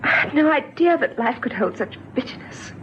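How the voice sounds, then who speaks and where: High-voiced despairing young woman, old radio drama. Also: animated, normal speed. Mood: bitter, despairing. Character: despairing, bitter young mother on a ledge, gentle, wistful. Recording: dull, faintly noisy old radio recording.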